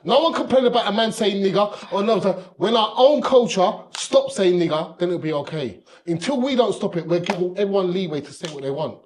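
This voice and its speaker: A man's voice: high voice, expressive, a little fast, hardly any pauses, darkish tone, slight background noise. A British man, arguing hard in a podcast debate, swearing and repeating himself to hold the floor.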